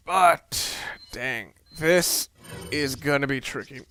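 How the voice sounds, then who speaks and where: A man's voice: on the high side, animated, drawn-out words, a bit bright. A man giving casual, unscripted video game commentary in a rambling, sweary, slangy way. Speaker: let's play commentator. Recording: clear background noise.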